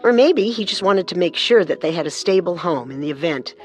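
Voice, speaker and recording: A woman narrating a scripted true-crime story, measured and with storyteller's emphasis. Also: fairly low pitch, lively intonation, a little mellow. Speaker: co-host narrator. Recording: music under the voice.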